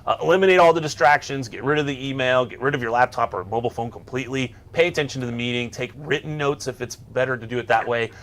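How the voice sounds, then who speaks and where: A man's voice: medium pitch, fast talker, few pauses, fairly bright tone. A man co-hosting a workplace tech show, giving semi-scripted tips in a casual, instructional way with some bantering asides.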